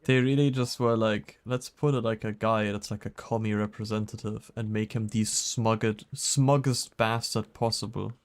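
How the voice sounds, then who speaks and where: A man's voice: on the low side, fairly even intonation, normal speed, hardly any pauses, dry recording. Dry-miked man, casual game streamer. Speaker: live streamer playing a detective game. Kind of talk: casual gameplay commentary.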